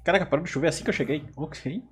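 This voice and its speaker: A man's voice: a little high, very fast. A Brazilian man giving casual let's play commentary as a gaming host, with a Brazilian Portuguese accent.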